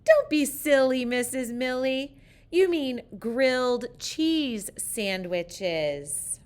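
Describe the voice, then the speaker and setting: Playful storybook schoolchildren, voiced by a woman, loud and insistent, high pitch, slow drawn-out emphasis on corrections. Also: animated, clean tone, heavily acted. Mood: amused shouting. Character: giggling young pupils correcting their silly teacher in chorus.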